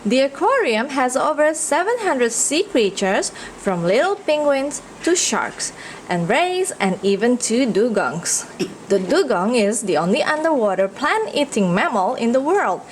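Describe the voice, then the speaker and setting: A woman from Indonesia reading a picture book aloud for children, expressive and inviting listeners with questions. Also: sits high, slight background noise. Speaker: read-aloud storyteller.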